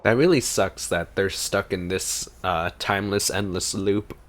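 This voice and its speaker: A man's voice: low voice, measured pace. A man giving casual reaction commentary as a gaming streamer.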